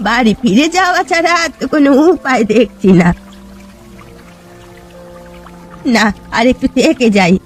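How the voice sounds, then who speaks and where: A woman's voice: on the high side, close to an everyday voice. A woman voicing a poor, sorrowful old fisherwoman in a cartoon folk tale, plaintive and resigned. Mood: worried.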